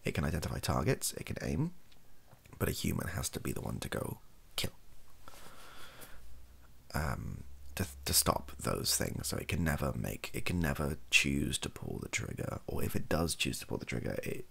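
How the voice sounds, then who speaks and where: Very deep, gravelly British man, softly spoken. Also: big pitch swings, moderate pace. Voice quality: soft, gravelly, husky. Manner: rambling, hesitant, self-deprecating. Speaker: video host, ASMR creator. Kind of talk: improvised softly spoken ASMR ramble. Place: small bedroom office, indoors.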